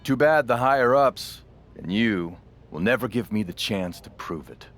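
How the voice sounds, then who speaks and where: An actor playing a boastful veteran wrestler in a video game, with theatrical, emphatic delivery and drawn-out phrases. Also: male voice, fairly low pitch, expressive, a bit bright. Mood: bitter, aggrieved. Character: boastful veteran male wrestler with a cheery, wide-eyed alter ego. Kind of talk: scripted video game cutscene acting.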